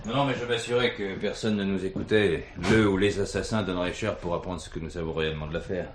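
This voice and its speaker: An actor playing a shrewd, authoritative police commissioner in a French radio crime drama, speaking in a commanding, deliberate way. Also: male voice, low-pitched, fairly even intonation, lightly acted. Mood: confiding. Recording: noisy 1970s radio drama recording.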